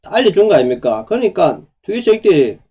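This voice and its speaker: A middle-aged man with a sore, hoarse throat, giving insistent solo commentary on housing policy and asking the viewer to agree again and again. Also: sits high, sing-song, fast, warm tone. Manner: repeats "그죠?" to the viewer, rhetorical and insistent. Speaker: real estate commentator.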